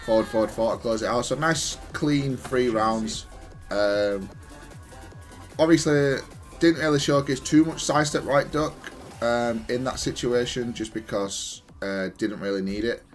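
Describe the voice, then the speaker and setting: A man's voice: medium pitch, measured pace, soft background music. A man giving off-the-cuff commentary on his own fighting game replays, explaining things in a hesitant, stop-start way. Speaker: gamer analysing own matches.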